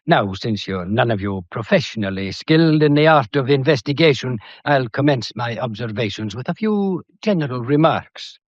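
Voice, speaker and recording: An actor playing a shrewd, methodical police detective in a British period mystery drama, courteous and lecturing, with a faintly theatrical delivery. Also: male voice, medium pitch, animated, moderate pace, few pauses, no room sound, muffled recording, natural voice. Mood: measured, lecturing. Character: shrewd, methodical male police detective laying out a murder case.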